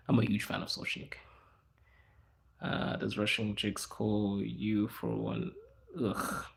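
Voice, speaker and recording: A young man hosting a casual livestream, answering chat in a hushed inside voice because people are around him. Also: on the low side, steady tone, slow, gravelly, long pauses. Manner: speaking quietly in an inside voice, laid back. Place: out in public in a city, people around.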